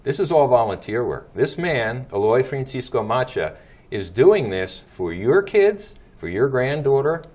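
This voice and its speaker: A man's voice: medium pitch, very animated, measured pace, a little mellow. Emphatic male talk show host. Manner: emphatic, repeats points to viewers. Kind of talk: local talk show interview.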